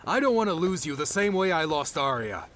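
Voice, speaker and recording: A man playing a touchy, guarded young guardian in an audiobook, with an exasperated, halting delivery. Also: fairly high pitch, very animated, a bit bright, a little hiss or hum. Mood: fearful, confessing. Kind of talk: audiobook character dialogue.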